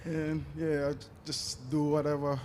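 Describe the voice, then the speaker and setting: A man answers interview questions as an athlete at a live event. He speaks slowly and haltingly, with frequent hesitations and little movement in pitch. There is faint noise in the background. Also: flat delivery, a little mellow. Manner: hesitant, halting with many long pauses. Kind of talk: unscripted on-stage interview answers.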